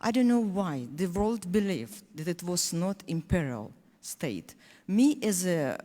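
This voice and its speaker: A woman from Donetsk with a Ukrainian accent, a native Russian speaker, speaking as a panelist in earnest, halting English full of restarts. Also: lowish voice, sing-song, warm tone, long reverb tail. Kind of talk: panel discussion speech.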